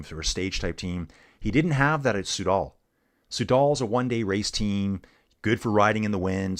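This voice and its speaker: A middle-aged man casually commenting on a bike race live and chatting with viewers, laid-back and saying "dude" a lot. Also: medium pitch. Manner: laid-back, says "dude" often, reads chat aloud.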